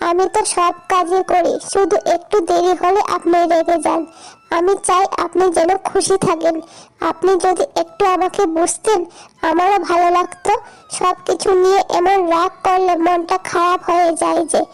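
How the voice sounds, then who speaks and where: Cartoon young wife, played by a woman: extremely high, narrow-ranged voice, dutiful, hurt, pleading with her mother-in-law. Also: flat delivery, moderate pace. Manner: earnest, self-justifying, plaintive. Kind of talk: cartoon voice acting.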